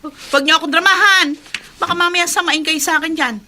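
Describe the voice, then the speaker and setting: An actress playing a bossy, cruel, scolding aunt with a Filipino accent in a radio drama. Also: female voice, very high voice, fairly even intonation, a little fast, sharp tone, a little hiss or hum, exaggerated voice. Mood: scolding, threatening. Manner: scolding, nagging. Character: bossy, cruel aunt ordering children around the house.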